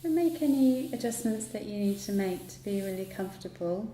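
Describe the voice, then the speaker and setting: A woman guiding a relaxation meditation in a soothing, unhurried manner, leaving long pauses between instructions. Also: medium pitch, expressive, warm tone. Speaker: yoga nidra guide. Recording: roomy echo, light background noise.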